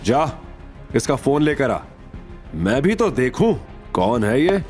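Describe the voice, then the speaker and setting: A man's voice: medium pitch, sing-song, slow pace, a little mellow, soft background music, pitched above the performer's own voice. A man playing a stern, threatening police officer of about forty in an audio drama, commanding and mocking. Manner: commanding, intimidating, informal address. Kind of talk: audio drama character acting.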